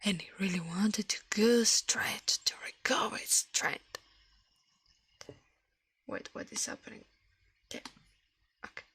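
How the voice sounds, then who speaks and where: A woman doing a soft ASMR livestream, reading fables and chatting in a gentle, hushed, breathy whisper, close to the microphone with slightly non-native phrasing. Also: mid-range voice, measured pace, pauses often.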